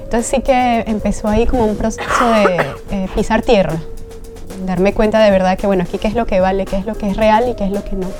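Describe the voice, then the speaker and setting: A woman's voice: mid-range voice, fairly even intonation, fairly quick, music in the background. A woman from Venezuela, a guest telling her life story in an earnest, reflective way. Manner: reflective, earnest, searching for words. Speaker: guest, train driver. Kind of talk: personal testimony in an interview.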